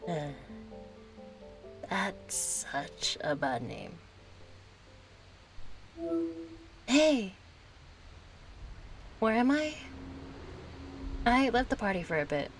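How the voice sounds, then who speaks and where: A woman's voice: medium pitch, very animated, slow pace, long pauses, soft background music. A woman acting a kind, chatty young friend in an intimate audio roleplay, casual, playful and a little hesitant. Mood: casual, apologetic. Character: kind, chatty young female friend, gently yearning for closeness.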